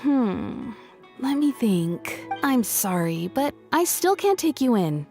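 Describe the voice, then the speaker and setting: An actress playing a practical, blunt but apologetic employer in video game dialogue. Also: female voice, fairly high pitch, big pitch swings, slow pace, mellow, faint music behind, full character voice. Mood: hesitant, apologetic.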